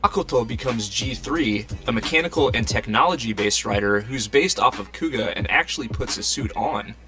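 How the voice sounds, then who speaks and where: A man narrating a scripted explainer video in a brisk, informative way. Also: mid-range voice, bright, forceful tone.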